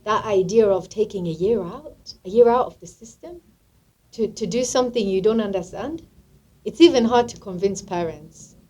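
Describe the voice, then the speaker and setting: A woman's voice: mid-range voice, normal speed, mellow, breathy edge. A woman from England, a guest musician, talking through her thoughts casually and often restarting her sentences. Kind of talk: casual interview talk.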